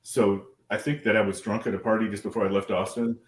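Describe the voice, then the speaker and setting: A man's voice: on the low side, level tone, fast talker, reverberant. An American man telling casual stories as an interview guest, rambling and sweary, with stammered repeats. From American Midwest. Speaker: guest artist. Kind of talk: casual anecdotal storytelling in a live interview.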